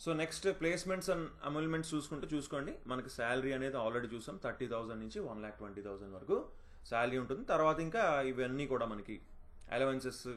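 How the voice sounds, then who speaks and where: A man's voice: mid-range voice, quick pace, a bit bright. A man giving an informal, instructive explainer, mixing in English terms and often checking that listeners follow. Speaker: job notification presenter.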